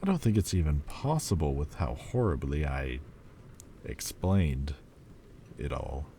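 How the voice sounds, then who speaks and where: A brooding ancient demon lord, as a man acts him out speaks in an extremely low, mellow voice. He talks slowly and haltingly in a roleplay monologue. A faint hum of ambience sits behind him. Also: lively intonation, gravelly, heavily acted. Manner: slow, quiet, halting confession. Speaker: voice actor.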